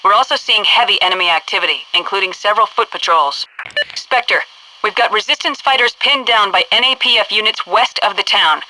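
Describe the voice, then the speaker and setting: An actress playing a composed, commanding headquarters officer who gives crisp, businesslike mission orders over military radio in a video game. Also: female voice, mid-range voice, fairly quick, sharp tone, a little hiss or hum, rough recording.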